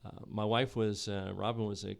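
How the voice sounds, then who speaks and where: A middle-aged man from New Jersey talks through a relaxed podcast interview. His voice is low and gravelly, and he is measured and unhurried, stopping often with fillers and restarts. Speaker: podcast guest, economic development board chair. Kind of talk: casual interview chat.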